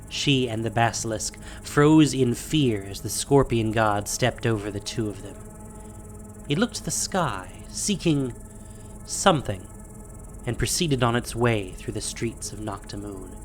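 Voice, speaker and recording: A man narrating an audio drama in a measured, dramatic storytelling manner. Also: lowish voice, low-quality recording. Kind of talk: dramatic scripted fantasy narration.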